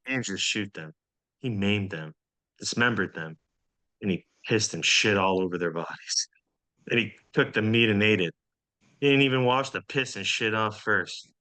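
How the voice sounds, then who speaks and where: A man's voice: on the low side, moderate pace, pushed and bright. A man playing a bitter, foul-mouthed secret agent with sarcastic aggression in a comedy table read. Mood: disgusted anger. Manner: sarcastic, profane.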